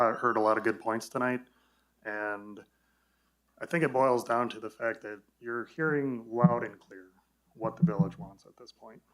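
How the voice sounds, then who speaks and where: A man with a low voice giving blunt, direct public comment at a village meeting. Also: fairly bright tone, rough recording.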